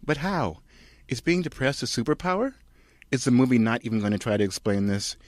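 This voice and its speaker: Man playing an anxious woman, slightly raised pitch. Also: expressive, a little fast, no echo, clean studio sound, lightly acted. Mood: baffled. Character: exasperated, anxious woman, pleading sister and wife.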